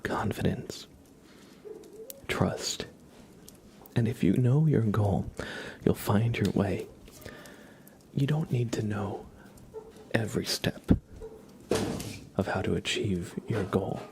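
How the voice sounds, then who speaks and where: A man speaking in a soft, breathy half-whisper, gently and unhurriedly, as an ASMR livestream host close to the microphone. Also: fairly low pitch, slow pace, pauses often.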